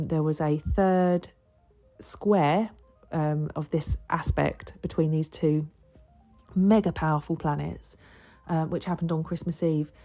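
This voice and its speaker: A woman hosting a solo podcast, croaky and a little bunged up from a cold, rambling with many ums and self-corrections. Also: fairly low pitch, dark tone. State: croaky with a sore throat and blocked nose. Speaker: podcast host and astrologer. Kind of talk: casual solo podcast chat.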